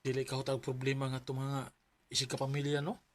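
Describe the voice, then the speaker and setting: A man's voice: medium pitch, monotone, fast, natural voice. A man with a Cebuano Filipino accent playing a disgruntled, protective young man in a radio drama. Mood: aggrieved.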